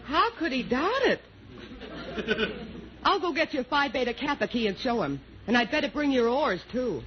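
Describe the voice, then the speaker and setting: A woman's voice: high-pitched, very animated, moderate pace, a crowd in the background. A woman playing a sarcastic wife in a 1940s radio comedy, teasing with theatrical mock earnestness. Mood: mock-earnest. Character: playfully sarcastic wife, teasing her boastful husband. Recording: muffled noisy 1940s radio recording.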